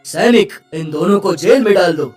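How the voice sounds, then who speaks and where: A woman plays a cartoon king in a low register. He is stern, fatherly and commanding. The voice is slightly distant, with faint music behind. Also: animated, moderate pace, a little mellow, lightly acted. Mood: angry. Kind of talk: Hindi cartoon story dialogue.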